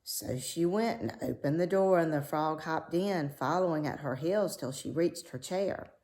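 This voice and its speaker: A woman reading a fairy tale aloud as a storyteller, measured and expressive. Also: sits low.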